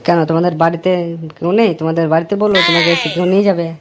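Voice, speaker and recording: A woman's voice: on the low side, fairly even intonation, rapid-fire, a crowd in the background, natural voice. A woman voicing a young husband in a dubbed cartoon, sounding impatient and pleading over music. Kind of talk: cartoon dubbing. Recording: clear music behind.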